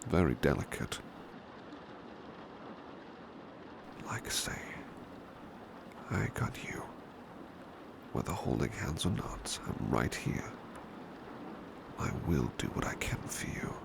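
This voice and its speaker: A devoted, protective British butler, acted by a man for an ASMR roleplay, with an extremely low voice full of heavy creak. He speaks very slowly and softly, in formal, courteous phrases that often sink toward a whisper. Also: heavily acted. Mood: tender, reassuring. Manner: formal, courteous, hushed and hesitant, with long pauses. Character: devoted, gentle, protective male butler of an estate. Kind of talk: ASMR roleplay, soft intimate speech slipping into whispers. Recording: clear steady rain-like background noise.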